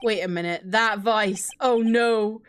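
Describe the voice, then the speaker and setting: A woman's voice: mid-range voice, takes it slowly, clean tone, close to an everyday voice. A woman voicing an exasperated young male defense lawyer in a game.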